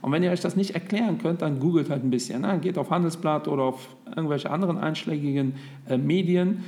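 Chatty man with a higher voice. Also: quick pace, warm tone. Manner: chatty, with many hesitations. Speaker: podcast co-host. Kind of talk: casual podcast chat.

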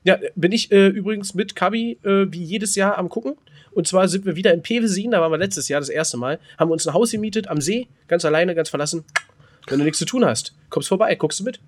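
A man's voice: high voice, fast talker. A man with a German accent chatting casually and playfully as a podcast co-host, often interjecting. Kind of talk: casual joking banter.

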